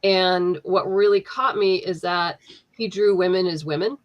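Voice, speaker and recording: A woman with a slightly low voice talks about her comic in a loose, chatty way, full of ums and restarts. She sounds like a guest on an online interview, speaking over a video call. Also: steady tone, unhurried, dull audio. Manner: chatty, many fillers and restarts, laughs easily. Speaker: comic book writer, guest. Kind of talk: casual interview chat.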